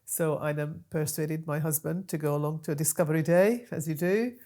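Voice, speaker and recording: A woman's voice: low voice, fairly quick, warm tone. A woman in her fifties, a bit nasal from a cold, chatting casually about her own story in a small studio, with plenty of ums. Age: 50s. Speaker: podcast host and guest. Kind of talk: casual interview chat.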